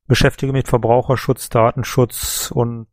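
A man in his thirties answers plainly in a flat, even voice. The recording is dry and close. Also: medium pitch, a little slow, no echo. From Germany. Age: 30s. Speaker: guest.

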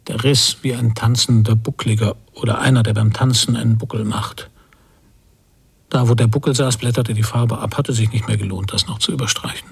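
An actor playing an anxious, brooding emigrant husband in a radio drama, speaking in halting fragments with a husky, breathy voice that often nears a whisper. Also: male voice, medium pitch, fairly even intonation. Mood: brooding, subdued. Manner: halting, introspective, short fragments. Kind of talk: literary radio drama monologue.

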